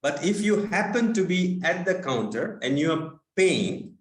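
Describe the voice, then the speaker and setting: A man from India giving an online talk as a guest speaker, halting with many fillers. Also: high-pitched, sing-song. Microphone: video call. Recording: echoey room.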